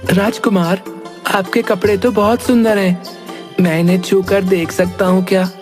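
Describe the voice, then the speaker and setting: Woman playing a curious little village boy, mid-pitched. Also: steady tone, normal speed, a little mellow, faint music behind, close to an everyday voice. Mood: curious, admiring. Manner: polite, admiring. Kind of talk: Hindi animated moral story dialogue.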